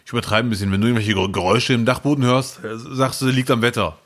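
A man co-hosting a podcast, joking and reacting quickly with exclamations in casual chat. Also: mid-range voice, fairly even intonation, fairly bright tone. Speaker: podcast co-host.

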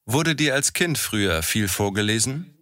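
Bright-voiced man asking questions. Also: lowish voice, animated, moderate pace, no room sound. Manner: short, direct questions. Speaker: interviewer. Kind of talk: reading prepared questions.

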